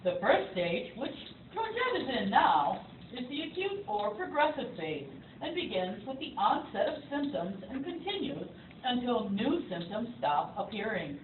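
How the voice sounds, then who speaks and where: A woman's voice: a little high, sing-song, unhurried. A woman narrating an educational puppet show with a scripted, instructive delivery aimed directly at the viewers. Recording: tinny, echoey, distant recording with faint noise.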